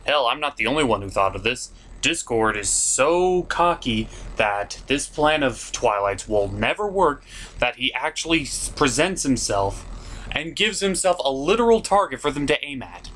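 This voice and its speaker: Animated, theatrical man ranting in a review. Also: mid-range voice, normal speed, slight background noise. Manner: theatrical, sarcastic, ranting exasperation. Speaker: host of an online puppet review show. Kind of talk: comedic scripted cartoon review.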